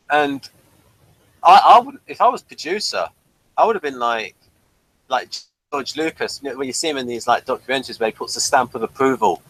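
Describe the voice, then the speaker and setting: A man's voice: mid-range voice, lively intonation, moderate pace, pauses often, fairly bright tone, poor audio. Man with a slight speech impediment. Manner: hesitant, with fillers and self-corrections. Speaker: podcast host reading the synopsis. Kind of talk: casual review chat.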